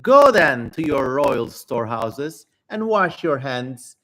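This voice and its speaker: A man's voice: mid-range voice, very animated, slow pace, sharp tone, full character voice. A man voicing a fierce, defiant female demon spirit in a theatrical, haughty reading of an ancient text. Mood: commanding.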